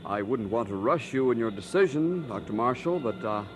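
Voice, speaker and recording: A man's voice: medium pitch, lively intonation, moderate pace, lightly acted. An actor playing a courteous, persistent church committee man in a radio drama, speaking formally with deferential hesitation. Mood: hopeful, deferential. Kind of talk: old-time radio drama acting.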